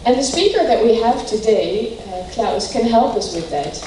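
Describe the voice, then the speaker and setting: A woman hosting an event, introducing the speaker in a halting way with many fillers. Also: a little high, lively intonation, mellow, a little hiss or hum. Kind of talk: speech introduction. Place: large hall. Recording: echoey hall from far off.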